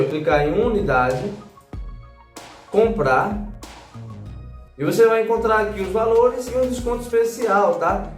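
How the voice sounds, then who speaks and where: A man's voice: sits high, roomy sound. A Brazilian man giving a casual tutorial voice-over as a gadget reviewer, instructing viewers directly with frequent check-in tags. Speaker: gadget reviewer and channel host.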